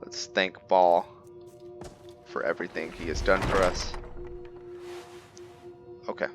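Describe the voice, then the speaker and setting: A man's voice: low-pitched, steady tone, slow, music in the background. A man giving casual let's-play commentary, thinking aloud and narrating his own moves as "we". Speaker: gameplay video creator.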